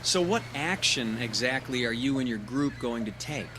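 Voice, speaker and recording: A man's voice: medium pitch, animated, moderate pace, noisy background, close to an everyday voice. A man acting in an audio drama as a jaded, skeptical character with a wry, laconic and casual delivery. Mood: skeptical, probing. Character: jaded, wry, skeptical man who smokes, older than student.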